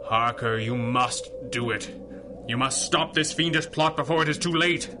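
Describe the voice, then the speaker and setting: A man's voice: mid-range voice, close to an everyday voice. Man playing a solemn masked hero, noisy recording. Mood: urgent, pleading. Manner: earnest, solemn, deliberate. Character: gravely weakened, commanding masked superhero, urgent and noble. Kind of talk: 1930s-style radio drama acting.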